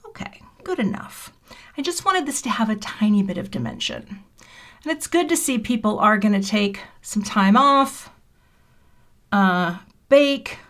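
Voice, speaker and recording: A woman in her fifties hosting a card-making livestream, chatting casually and muttering quiet asides while she works. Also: mid-range voice, sing-song, a little slow, pauses often. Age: 50s. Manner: chatty and self-deprecating, muttering half-whispered asides while working. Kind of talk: casual crafting livestream chat. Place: home craft room.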